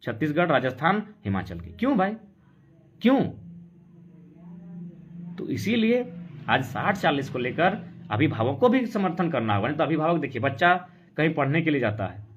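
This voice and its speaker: A man's voice: on the high side, big pitch swings, moderate pace, pauses often, a little hiss or hum. A man from Jharkhand with a Jharkhandi Hindi accent, speaking as an activist in an insistent, argumentative live political talk. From Jharkhand, India. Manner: insistent, argumentative, full of rhetorical questions. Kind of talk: impassioned political talk on a live stream, answering comments. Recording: dull, lo-fi sound.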